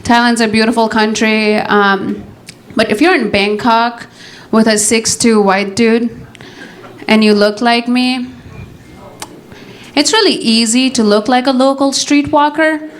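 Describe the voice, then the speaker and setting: A young adult woman from Nepal doing a stand-up comedy set, with a deadpan delivery that keeps tacking on "you know?" and "all right?". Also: on the high side, measured pace, pauses often. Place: comedy club stage with laughing audience.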